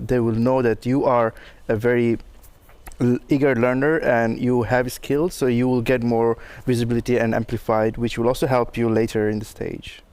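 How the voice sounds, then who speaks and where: A man's voice: mid-range voice, darkish tone, smooth. A man speaking as a panel guest in a studio, giving earnest, instructive advice. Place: studio for a live stream.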